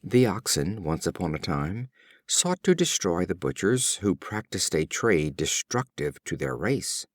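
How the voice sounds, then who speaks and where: A man reading fables as an audiobook, expressive, deliberate and theatrical in his storytelling. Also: sits low, dry recording, clean studio sound. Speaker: audiobook reader.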